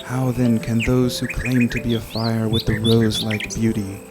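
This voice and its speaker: A man playing a revered, gentle, impassioned holy man in a dramatic reading, with a measured, exalted delivery. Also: medium pitch, little pitch movement, close to an everyday voice. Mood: reverent, devout. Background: loud music, birdsong and insects.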